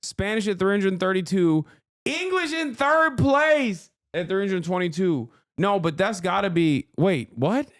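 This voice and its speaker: A man's voice: high voice, expressive, a little slow, a bit bright, dry recording, clean studio sound. A man from Turkey, a native Turkish speaker, hosting a casual live-stream reaction and bluntly teasing his viewers. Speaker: streamer host.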